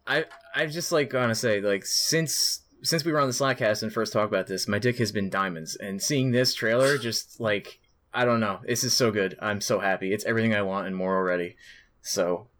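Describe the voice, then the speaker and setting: A man's voice: lowish voice, moderate pace, fairly bright tone. A young man co-hosting a casual podcast, talking informally with some crude joking. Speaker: podcast co-host. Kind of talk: casual chat.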